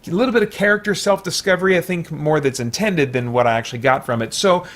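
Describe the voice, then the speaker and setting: A man giving a casual, unscripted movie review, thinking out loud in a rambling, hesitant way with repeated false starts. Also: a little high. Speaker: movie reviewer, video host.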